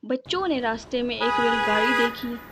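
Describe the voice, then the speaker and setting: High-voiced woman narrating a children's story. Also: normal speed, heavy background noise.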